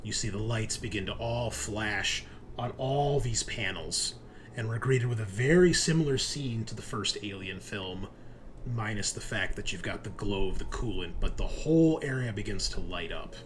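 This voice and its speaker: A man's voice: lowish voice, expressive, moderate pace. Man running a tabletop game over background noise. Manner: informal second-person narration, improvised. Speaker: game master. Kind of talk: narrating a tabletop roleplaying game.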